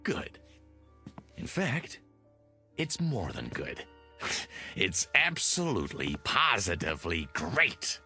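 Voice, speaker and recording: A loyal Hollywood agent who coaxes and placates with very animated, swooping inflection. It sounds like vintage television drama, with some music underneath. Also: male voice, low voice, takes it slowly, long pauses, heavily acted. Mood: urging, upbeat. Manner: emphatic, cajoling, placating. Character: loyal, coaxing male Hollywood talent agent. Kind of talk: mid-century television drama acting.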